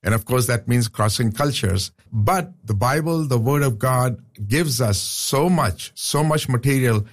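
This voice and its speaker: A man's voice: mid-range voice, measured pace, hardly any pauses. A man from Pakistan, a professor talking earnestly as a radio studio guest, often restarting his sentences. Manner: earnest, with frequent restarts and "uh" fillers. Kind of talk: casual radio interview.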